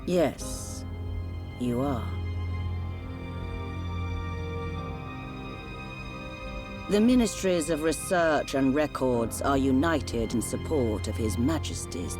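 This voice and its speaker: Sly female game minister, very low voice, slow, insinuating, music behind. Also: exaggerated voice. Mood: smoothly approving. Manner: measured, insinuating, feigned humility. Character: sly, scheming female court minister, falsely humble. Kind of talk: scripted game cutscene acting.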